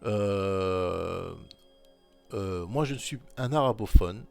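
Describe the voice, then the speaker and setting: A man with a fairly low voice talks through scripture verses in a loose, informal way. He keeps coming back to the same points and presses them on the listener, talking straight to them. Faint music plays quietly underneath. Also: slow pace, vocal fry. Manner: insistent, repetitive exhortation. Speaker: religious commentator.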